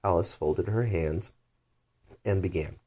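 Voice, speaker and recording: A male storyteller with a deep, low voice reads a children's bedtime story. It is scripted narration, delivered as a plain reading. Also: takes it slowly, dark tone.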